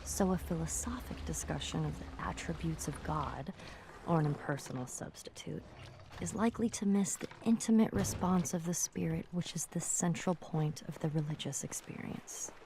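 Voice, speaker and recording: A woman reading a philosophical essay aloud in a measured way. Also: sits low, creaky, dark tone, a little hiss or hum. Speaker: reader.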